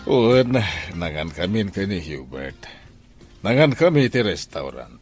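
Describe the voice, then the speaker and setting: An actor in a radio drama playing an exasperated husband who talks back argumentatively. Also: male voice, low voice, big pitch swings, a little slow, darkish tone, faint music behind, heavily acted. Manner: retorting, argumentative. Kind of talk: Ilocano radio drama acting.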